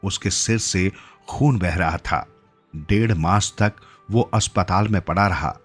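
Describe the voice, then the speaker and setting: Low-pitched male storyteller over music. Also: takes it slowly. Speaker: story narrator. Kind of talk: scripted literary story narration in Hindi.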